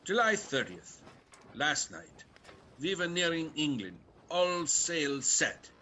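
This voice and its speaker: A man acts out a radio-play sea captain, slow and forceful. He is grim and dutiful with fear underneath, speaking in terse log entries over faint noise. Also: fairly high pitch, sing-song, heavily acted. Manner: terse, clipped phrasing. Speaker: actor.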